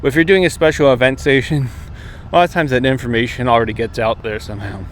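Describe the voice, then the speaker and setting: An American man in his thirties talks casually and directly to his viewers, offering tips in a conversational, slightly hedging way. His voice sits at an average male pitch. It is recorded outdoors on a camera at arm's length, with faint urban and vehicle noise behind him. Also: fairly even intonation, fast. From United States. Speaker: amateur radio vlogger. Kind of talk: casual instructional vlog to camera. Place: outdoors, open park path beside water. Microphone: camera held at arm's length. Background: jets, vehicles, urban outdoor noise.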